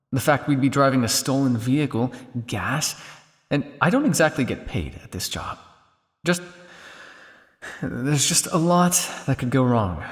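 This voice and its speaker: A cynical vampire scientist, acted by a man, speaks close and intimately in an ASMR roleplay. His medium-pitched voice moves expressively between sardonic jabs and weary condescension. A long reverb trails behind his words. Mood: dry, sardonic. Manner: sardonic, condescending, with exasperated sighs and dry asides.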